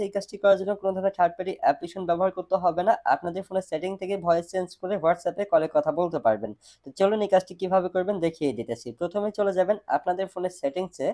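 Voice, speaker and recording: A man's voice: high voice, warm tone. A man hosting a phone tutorial, explaining step by step in a repetitive, instructional way. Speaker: tech tutorial host.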